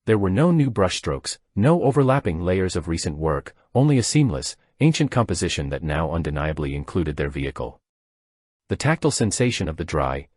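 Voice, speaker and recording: A man narrating a scripted slow-burn horror story in a measured, dramatic storytelling manner. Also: deep voice, a little mellow, slightly rough, dry recording, clean studio sound.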